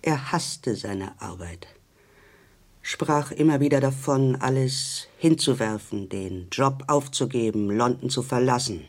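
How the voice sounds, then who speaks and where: An actor playing a pensive man who recalls someone distant, reflectively and with pauses, in a radio drama. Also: medium pitch, close to an everyday voice.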